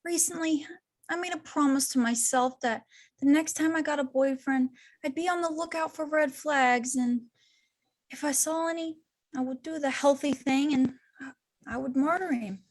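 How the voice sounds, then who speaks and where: A woman's voice: sits high, moderate pace. A woman playing a flighty, chatty, unhinged young clown villainess in an amateur table read. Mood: chatty, apologetic.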